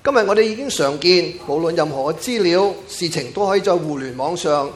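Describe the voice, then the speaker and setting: Cantonese guy interpreting the sermon, talks fast, slightly high voice. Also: expressive. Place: church sanctuary.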